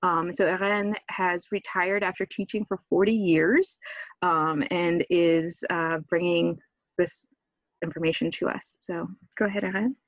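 A woman's voice: on the low side, fairly even intonation, unhurried, no echo. A woman hosting a video-call webinar, introducing the speaker hesitantly with many fillers. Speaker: state world language specialist, webinar host. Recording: dull, dry lo-fi call audio.

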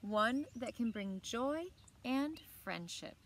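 A woman's voice: on the high side, takes it slowly, slight background noise. Woman reading picture books aloud outdoors. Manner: expressive, clearly paced reading to young listeners. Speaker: library story time reader. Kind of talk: read-aloud storytelling for children with asides. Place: outdoors on a lawn beside evergreen trees. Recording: outdoor ambience with birdsong. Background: birds and outdoor ambience.

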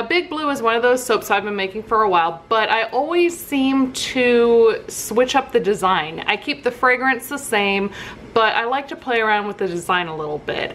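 Frau plaudert locker, mit deutlichem Hintergrundrauschen. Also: female voice, mid-range voice, fairly bright tone, slight background noise. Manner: rambling, thinking aloud. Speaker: soap maker hosting her own craft video. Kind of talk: casual craft tutorial chat.

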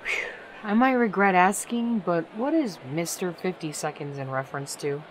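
A woman's voice: on the low side, moderate pace, a little hiss or hum, natural voice. A woman voicing a dry, guarded, protective older-sister pony in a fan audio drama.

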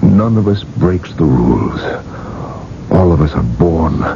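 An actor in a radio drama playing a dying old industrialist, weak and desperate, with faltering speech. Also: male voice, extremely low pitch, lively intonation, slow pace, vocal fry, full character voice. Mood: weary, resigned. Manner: weak, faltering, effortful delivery. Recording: noisy, dull old radio broadcast.

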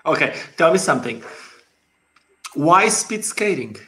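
A man in his forties with a Portuguese accent, hosting a casual interview over a video call from a dim room at home, animated and quick to laugh. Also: a little high. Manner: animated, laughing, gestures a lot. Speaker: host. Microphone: headset on video call.

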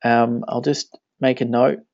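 A man's voice: mid-range voice, level tone, a little slow, creaky, darkish tone, no echo. Casual man talking through a screencast. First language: Danish. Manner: casual, thinking aloud while clicking. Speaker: web tutorial instructor. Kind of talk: screencast tutorial walkthrough. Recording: dry, dull lo-fi screen recording.

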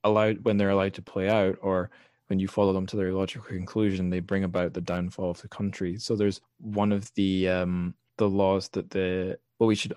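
A man's voice: low voice, a little mellow, dry recording, clean studio sound. A man hosting a podcast, talking casually about literature and thinking aloud as he goes. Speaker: podcast host.

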